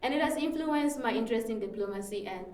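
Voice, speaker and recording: A woman's voice: fairly high pitch, animated, fast talker, recorded from a distance, a crowd in the background. A young woman from northern Ghana, a student guest in a casual interview, who talks in an easy way with many fillers and frequent laughs. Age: young adult. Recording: echoey room, voice a little off-mic.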